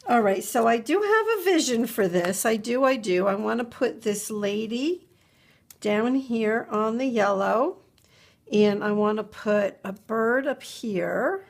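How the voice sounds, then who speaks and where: A woman's voice: fairly high pitch, expressive, a little slow, gravelly. A middle-aged woman, a little congested from a cold, chatting and musing aloud at her craft desk while she works. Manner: chatty, musing aloud, repeats words to herself. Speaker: craft video host. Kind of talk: relaxed crafting tutorial narration. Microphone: overhead camera above a desk, hands only.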